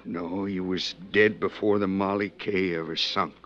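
An actor playing an uneasy, plain-spoken merchant sailor with folksy, hesitant, ungrammatical talk in 1950s radio style. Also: male voice, low voice, steady tone, a little slow, a bit bright. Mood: grave, confiding. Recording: dull, lo-fi 1950s radio recording.

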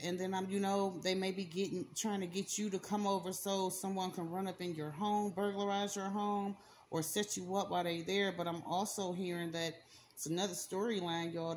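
A woman's voice: on the low side, little pitch movement, normal speed, slight background noise. Emphatic woman giving a spiritual card reading. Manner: repetitive, emphatic, slangy, talks to viewer directly. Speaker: spiritual reader. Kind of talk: casual spoken card reading. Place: indoors at a table, hands and cards on camera.

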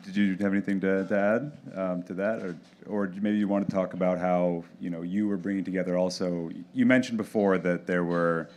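A man's voice: sits low, steady tone, brisk, few pauses, a little mellow. A man moderating a panel, asking loose, rambling questions into a handheld mic.

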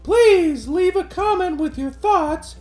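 A woman's voice: very high voice, animated, slow pace, a little mellow, soft background music, full character voice. A woman voicing a perky, friendly little talking train engine introducing a children's storybook video. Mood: cheerful.

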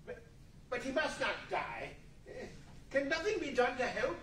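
A man playing an anxious, halting, pleading old miser in a 1940s-style radio play. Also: extremely high pitch, very animated, a bit bright, full character voice. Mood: troubled, pleading. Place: large meeting hall before an audience. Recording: distant echoey room with faint noise.

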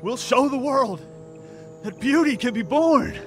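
A man's voice: extremely high pitch, animated, measured pace, soft background music. A man playing a nervous, lovesick lab assistant in an audio drama, hesitant and fervent, with a tendency to stammer.

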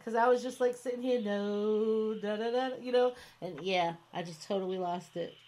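A woman's voice: medium pitch, steady tone, normal speed, mellow. A woman hosting an online radio show who talks in a chatty, rambling way, full of fillers like "you know what I mean". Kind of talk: casual interview chat.

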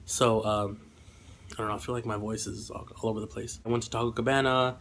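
Young man with flat, congested voice. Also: lowish voice, quick pace, a little hiss or hum. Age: young adult. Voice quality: slightly congested. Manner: hesitant, rambling. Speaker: vlogger. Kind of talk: casual eating vlog chat. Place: small room indoors at home.

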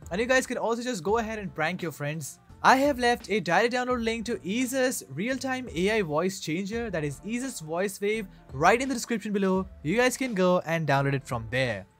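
Male tech reviewer, higher register, scripted how-to delivery, light music bed. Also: expressive, moderate pace, hardly any pauses, a bit bright. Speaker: tech reviewer, channel host. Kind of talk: scripted tutorial narration. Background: background music.

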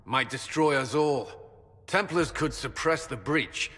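A man's voice: a little high, a little slow, fairly bright tone, big-room sound. An actor playing an earnest male military commander who speaks firmly and directly.

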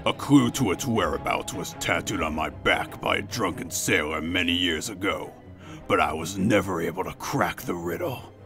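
A man's voice: low voice, normal speed, pushed and bright. A man voicing a solemn, formal, polite sideshow strongman in a cartoon comic dub, with music behind.

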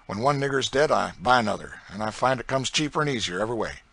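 A man voicing a brutal, boastful planter with a rural Southern accent, loud and swaggering. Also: medium pitch, expressive, fast talker, vocal fry, no room sound, pitched above the performer's own voice. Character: brutal boastful male slave-driving planter.

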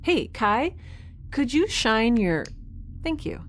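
A woman playing a young, geeky, kindhearted space scientist in an audio drama, recording an informal log in a halting, self-correcting way. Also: fairly high pitch, very animated. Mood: curious, observing.

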